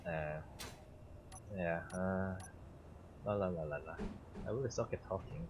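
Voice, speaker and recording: Casting note: man with a very low voice, Korean as his first language, halting gameplay commentary full of fillers, dull lo-fi mic. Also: noisy background. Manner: halting, thinking aloud with many fillers. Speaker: streamer.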